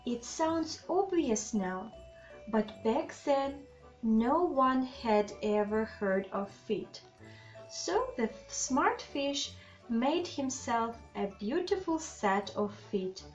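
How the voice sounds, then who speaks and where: A woman reading a children's bedtime story aloud in an expressive, deliberate way, with asides to young listeners. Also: a little high. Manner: slow, deliberate, animated phrasing with questions to the child listeners. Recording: slightly dull, lo-fi sound with music.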